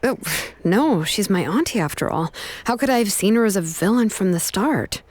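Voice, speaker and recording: An actress playing a calm, reassuring young engraver and mentor in a video game story scene. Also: female voice, medium pitch, dry recording, close to an everyday voice. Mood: matter-of-fact. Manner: calm, unhurried, gently reassuring. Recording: music under the voice.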